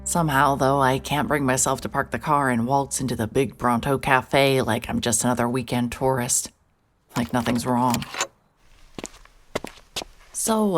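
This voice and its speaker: An actress playing a hard-bitten, wary private investigator, terse and sardonic, in a horror audio drama with noir-style narration. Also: female voice, very deep voice, a little hiss or hum. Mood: weary, wry. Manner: muttering to self, wry, terse. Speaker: lead character and narrator.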